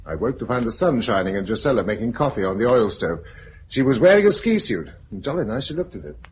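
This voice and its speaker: An actor playing a pragmatic, level-headed male survivor and narrator in a 1950s radio drama, with a British accent and a measured, clipped delivery. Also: mid-range voice, very animated, lightly acted. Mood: calm, reflective. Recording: muffled, noisy vintage radio recording.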